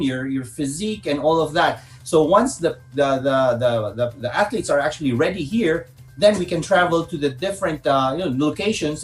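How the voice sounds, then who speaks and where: Man, Filipino accent, slightly high voice, casual interview talk, halting, repetitive phrasing. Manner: halting, repetitive, frequent "you know" and "right?". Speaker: guest, bobsled team organiser.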